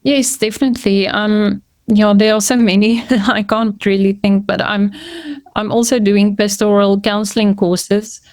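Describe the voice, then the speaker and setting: A woman's voice: mid-range voice, flat delivery, measured pace, a little mellow, no echo, clean studio sound. A middle-aged woman whose first language is Afrikaans, a podcast guest talking casually and hesitantly, with many fillers and restarts. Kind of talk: casual conversational interview.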